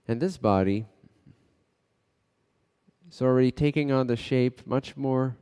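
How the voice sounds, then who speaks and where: A man leading a guided meditation as a teacher, speaking in short, unhurried phrases with pauses between them. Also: medium pitch, mellow, clear, resonant tone. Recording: long reverberant echo.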